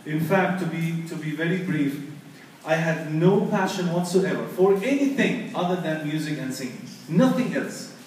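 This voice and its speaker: A man tells his story as a preacher, leaning on repeated phrases for emphasis. His fairly high voice carries through a very echoey hall, caught from some distance with faint noise behind. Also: lively intonation, moderate pace, darkish tone. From Egypt. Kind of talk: personal testimony talk to an audience. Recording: echoey hall, picked up from far off.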